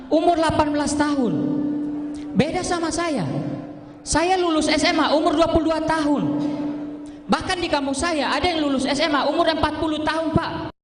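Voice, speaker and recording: A young Eastern Indonesian man doing stand-up, his voice sitting high and ringing through a big echoey hall with a long tail of reverb. He delivers self-mocking punchlines with a punchy, deadpan edge and keeps appealing straight to the audience. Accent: Eastern Indonesian (Kupang). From Kupang, East Nusa Tenggara, Indonesia. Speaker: stand-up comedian. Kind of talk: stand-up comedy set. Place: large indoor hall on stage.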